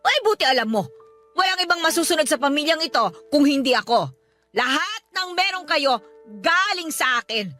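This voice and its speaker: A very high, forceful voice of a domineering mother in a radio drama. She scolds and gives orders like someone who expects to be obeyed, with faint music underneath. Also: animated, normal speed. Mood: stern, scolding. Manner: scolding, commanding, threatening. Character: domineering, status-conscious mother of grown children. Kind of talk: Filipino radio drama acting.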